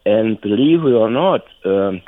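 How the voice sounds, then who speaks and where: An elderly man from Germany with a German accent, reminiscing casually in a halting, rambling way and repeating himself. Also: on the low side, lively intonation. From North Rhine-Westphalia, Germany. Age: 70s or older. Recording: tinny phone line.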